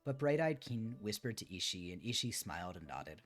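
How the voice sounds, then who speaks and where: A man's voice: fairly low pitch, big pitch swings, moderate pace, a bit bright, faint music behind. A man on a livestream, moving between reading a book aloud and chatting casually with listeners. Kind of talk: read-aloud storytelling mixed with casual chat on a livestream.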